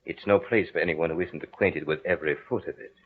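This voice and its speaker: Brooding deep-voiced man in old radio drama. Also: steady tone, quick pace, breathy edge. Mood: grave, evasive. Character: brooding, obsessive lovelorn Southern swamp-estate owner, secretly murderous. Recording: muffled old radio recording with music.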